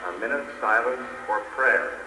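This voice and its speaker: An actor playing a solemn factory announcer, declaiming formally over a public address system in 1940s radio drama style. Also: male voice, medium pitch, normal speed. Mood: solemn, urgent. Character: solemn factory announcer reading war news to workers. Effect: public address loudspeaker. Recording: echoing loudspeaker, noisy old radio.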